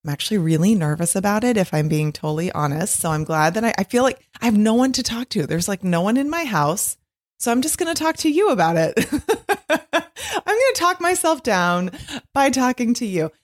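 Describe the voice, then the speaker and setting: A middle-aged woman hosting her podcast alone, her voice a little husky, talking in a chatty, rambling way with frequent laughs. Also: medium pitch, big pitch swings, normal speed. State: slightly husky from long recording. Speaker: podcast host and author. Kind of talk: casual solo podcast monologue.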